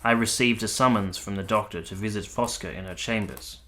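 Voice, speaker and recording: An earnest army captain in a literary radio play. His voice sits low with a bright, firm edge. He is formal, reserved and measured, like someone carefully recounting his memories. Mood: somber, reflective. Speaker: narrator and lead character. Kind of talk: literary period radio drama narration.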